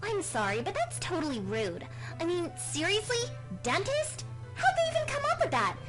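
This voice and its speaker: A woman's voice: very high-pitched, huge pitch swings, moderate pace, loud music behind the voice, full character voice. A woman playing an indignant, huffy female pony calling in to complain in a radio play.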